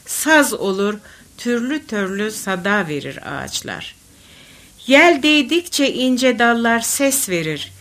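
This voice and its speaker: A woman reciting folk poetry expressively, with measured, declamatory phrasing. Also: sits high, a bit bright. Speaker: poetry reader.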